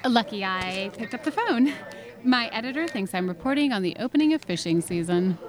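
An actress playing a sharp small-town newspaper reporter in an audio drama, questioning directly and skeptically. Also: female voice, on the high side, expressive, a little fast, close to an everyday voice. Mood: dry, casual.

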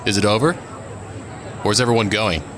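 Male actor as earnest cop, cautious, hopeful, low voice, audio drama, city noise. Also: steady tone, normal speed, a crowd in the background, close to an everyday voice. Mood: curious, hopeful. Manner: earnest, questioning. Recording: outdoor city noise behind. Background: urban street ambience.

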